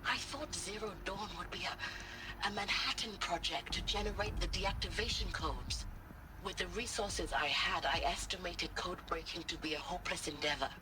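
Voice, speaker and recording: An actor playing a proud, weary, defiant military captain in a video game cutscene, formal and measured with dry delivery. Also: male voice, high-pitched, background noise, close to an everyday voice. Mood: grave, defiant.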